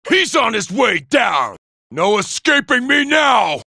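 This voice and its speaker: A man voicing a cocky, aggressive robot soldier for a video game, barking menacing, taunting combat lines in a gravelly, forceful voice. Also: very high-pitched, very animated, slow, no echo, studio quality, exaggerated voice. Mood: smug.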